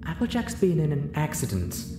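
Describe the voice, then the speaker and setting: A man voicing a young female unicorn in a story, mournful, gentle and apologetic. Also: fairly high pitch, big pitch swings, normal speed, pitched above the performer's own voice. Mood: regretful. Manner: regretful, gentle. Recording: roomy echo, audible noise.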